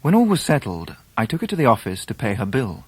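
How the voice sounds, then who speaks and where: Wry English Victorian gentleman, radio drama narration: medium pitch, very dry acoustic, measured. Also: wildly swooping pitch, slightly rough, no room sound. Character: genteel, wry Victorian gentleman recalling his youth. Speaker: narrator in character.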